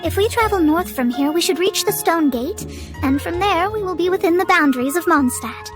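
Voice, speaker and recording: Pompous high-voiced young astrologist. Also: female voice, expressive, brisk, music in the background. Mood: composed, eager. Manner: pompous, formal, theatrical. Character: proud young female astrologist, pompous, secretly short of money. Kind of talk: scripted video game acting.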